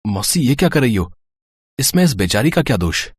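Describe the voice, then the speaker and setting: A man voicing a gentle young family friend who brings sad news softly. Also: medium pitch, sing-song, brisk, slightly rough, no room sound, studio quality. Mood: somber.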